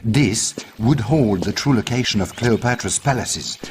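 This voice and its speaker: An actor playing an earnest, worried French archaeologist ally in a video game, with a French accent and a grave, deliberate delivery. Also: male voice, lowish voice, expressive, noisy background. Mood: urgent. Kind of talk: video game cutscene acting. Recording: music behind dialogue.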